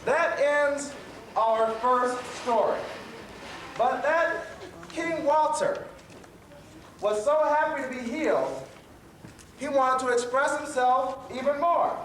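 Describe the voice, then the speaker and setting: A man playing a cheerful professor who hosts a children's storytelling stage show, speaking haltingly and stumbling over his words. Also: extremely high pitch, animated, fairly bright tone, exaggerated voice. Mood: upbeat, presenting. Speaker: storyteller host. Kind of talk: live children's theatre hosting, telling jokes. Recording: echoey stage, distant mic, faint noise.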